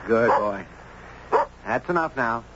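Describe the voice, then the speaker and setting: An actor playing a friendly anthropologist in a 1970s radio drama, easygoing and measured, on an old radio recording. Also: male voice, medium pitch, animated, muffled recording, heavy background noise. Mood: affable, playful. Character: friendly male anthropologist and narrator, an affable dog owner.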